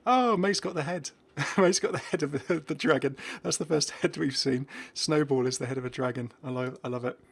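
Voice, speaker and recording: A man's voice: a little high, moderate pace, creaky, few pauses, breathy edge. A man hosting a gaming video with casual, unscripted commentary, chatty and musing aloud, often breaking off mid-thought.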